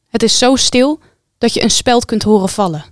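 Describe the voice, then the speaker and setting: Higher-pitched woman narrating a drama. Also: normal speed, no echo, studio quality. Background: music.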